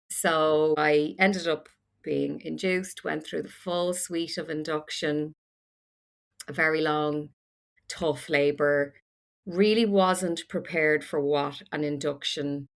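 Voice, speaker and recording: Gravelly Irish woman telling her story. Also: sits low. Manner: frank, animated, self-deprecating humour. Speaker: podcast guest. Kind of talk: candid storytelling chat.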